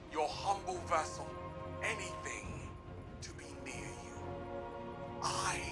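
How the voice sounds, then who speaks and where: A man voicing an overwrought, pompous knight in a video game, pleading as a lovesick suitor in florid, drawn-out phrases. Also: fairly high pitch. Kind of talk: video game character dialogue. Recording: distant voice under loud music.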